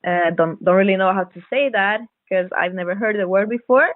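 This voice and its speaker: A woman speaking as a podcast guest co-host, chatting casually about horror films in hesitant, halting phrases. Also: medium pitch, no echo. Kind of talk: casual horror film chat. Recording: narrow phone-like call audio, dry.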